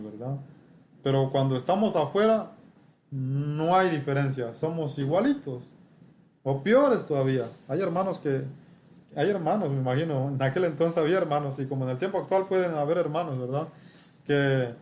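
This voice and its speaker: A man preaching a sermon and teaching from scripture, speaking haltingly with frequent rhetorical tag questions. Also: a little high, mellow. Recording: noisy room ambience.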